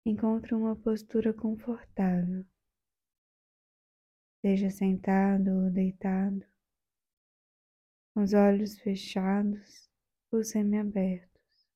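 A woman with a Brazilian accent leading a guided meditation in a soft, soothing, gentle way, leaving long pauses. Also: medium pitch, level tone, muffled recording.

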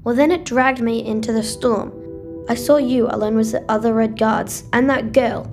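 A woman's voice: a little high, fairly even intonation, moderate pace, vocal fry, over music. A woman playing an anxious, trusting young boy in a sci-fi audio drama, full of plaintive questions. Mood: curious.

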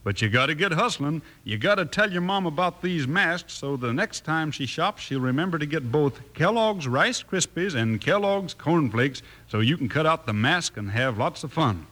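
An American man doing a folksy, enthusiastic 1950s radio advertising pitch aimed at children. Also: expressive, moderate pace, few pauses, a bit bright. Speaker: radio ad co-announcer.

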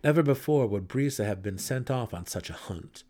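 A man reading a fantasy audiobook as a dramatic narrator, with theatrical, deliberate emphasis. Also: mid-range voice, expressive, darkish tone.

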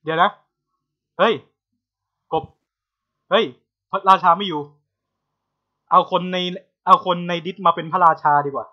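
A man's voice: sits high, a little slow, pauses often. A man co-hosting a podcast in casual chat, on a slightly dull, lo-fi connection. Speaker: podcast co-host.

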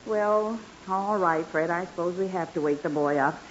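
A woman's voice: on the low side, moderate pace, natural voice. An American woman acting a fretful, fussy middle-aged wife and mother in a 1940s radio comedy. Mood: fretful, resigned. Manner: fretful, fussing. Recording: muffled hissy 1940s radio broadcast.

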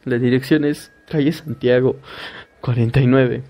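A man voicing a frightened young woman who pleads and stammers in panic, in a gravelly recorded horror story. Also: medium pitch, steady tone, normal speed, warm tone, close to an everyday voice. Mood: panicked.

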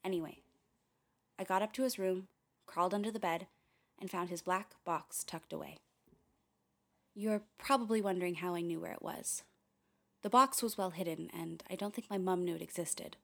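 A woman's voice: lowish voice, moderate pace, creaky, long pauses, a bit bright. A woman playing a candid, wry, uneasy young filmmaker who narrates a radio play in a confiding way, with tangents and swearing. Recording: music behind the voice.

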